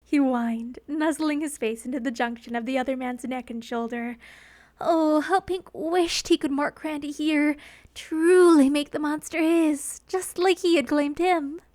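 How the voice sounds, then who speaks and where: A woman narrating a scripted romantic story in an expressive, theatrical storytelling manner. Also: high-pitched, measured pace, dry recording, studio quality. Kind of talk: scripted dramatic fan fiction narration.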